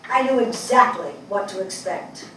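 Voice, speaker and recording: A woman's voice: mid-range voice, lively intonation, moderate pace, fairly bright tone, natural voice. Older lady acting a thoughtful wry journalist woman, stage reading, mic far off, bit of noise. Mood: earnest, wry. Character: reflective, wry middle-aged New York woman journalist. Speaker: stage actress in a dramatic reading. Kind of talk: theatrical dramatic monologue read on stage. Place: indoor small theatre stage. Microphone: far camera. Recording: echoey theatre, distant mic, faint noise.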